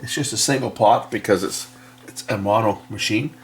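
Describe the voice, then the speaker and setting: Male repair host, lowish voice, plainspoken and explanatory, casual workbench narration with faint noise. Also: normal speed. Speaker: electronics repair technician and video host.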